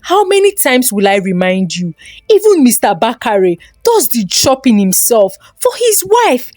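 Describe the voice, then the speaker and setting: A scornful Nigerian wife, as a woman storyteller puts her on, scolding and belittling in a folktale. Her high voice swings sharply with sarcastic exclamations, recorded close and dry. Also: sing-song, unhurried, a little mellow, no room sound, soft background music. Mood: sarcastic, exasperated.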